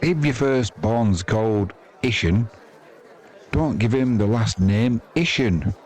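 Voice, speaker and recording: Deep-voiced English cricket commentator, male. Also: animated, slow pace, darkish tone, some chatter behind. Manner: chatty, dry-humoured, blunt, slangy. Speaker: live sports commentator and host. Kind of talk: casual ball-by-ball cricket commentary.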